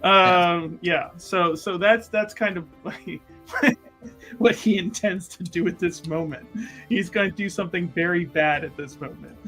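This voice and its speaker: A man's voice: sits high, unhurried, fairly bright tone, faint music behind. A man chatting casually as a player in a tabletop roleplaying game.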